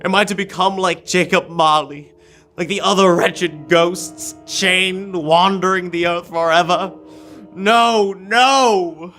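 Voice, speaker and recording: A frightened old miser, acted by a young man, speaks slowly and haltingly in a sharp, fairly high voice. He pleads theatrically in old-time radio style over faint music. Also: full character voice. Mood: desperate begging.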